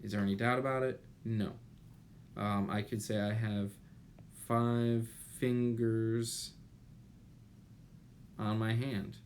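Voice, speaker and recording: Guy in his 30s teaching from slides, kinda low voice, pauses a lot, bit of noise behind him. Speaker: chemistry instructor. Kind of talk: explanatory lecture over slides. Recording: noticeable steady background noise.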